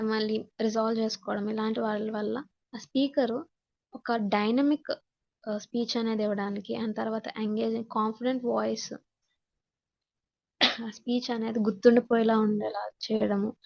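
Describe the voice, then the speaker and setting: Female teacher, Telugu accent, fairly high pitch, explanatory lecture delivery mixing in English, dull low-fidelity class recording. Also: creaky, a little mellow. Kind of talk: online class lecture, reading points in English then explaining. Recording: dull, muffled lo-fi audio.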